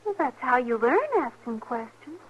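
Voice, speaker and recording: A woman's voice: on the high side, animated, moderate pace, a little hiss or hum, lightly acted. A woman playing an inquisitive, plain-spoken little frontier girl in an old-time radio western, with a persistent, childlike manner. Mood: insistent. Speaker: radio drama actor. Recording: muffled old radio recording.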